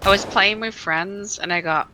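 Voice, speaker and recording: A woman's voice: medium pitch, moderate pace, bright, forceful tone, a little hiss or hum, low-quality recording. A woman streamer chatting casually while gaming, rambling with many fillers and restarts into a desk microphone.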